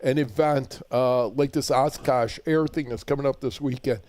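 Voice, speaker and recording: A man's voice: mid-range voice, steady tone, moderate pace, a little mellow. A man with a Chicago accent hosting a live call-in radio shopping show, chatty and rambling, often repeating words as he starts a thought.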